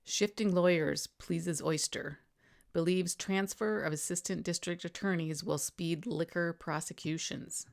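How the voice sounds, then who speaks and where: A woman with a low-pitched voice reads out old newspaper items as a narrator. Her delivery is matter-of-fact, moving between formal headline reading and plainer, informal retelling. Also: fairly even intonation, measured pace, vocal fry. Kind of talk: news reading with informal summarizing asides.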